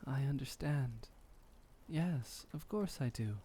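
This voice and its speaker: A tender, courtly male vampire , played by a man, murmurs comfort in a very unhurried, dark and velvety voice. His phrasing is formal and old-world, delivered intimately as ASMR roleplay over light background noise. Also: mid-range voice, expressive. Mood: calm, reassuring. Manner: soft, soothing, old-fashioned formal phrasing, breathy sighs.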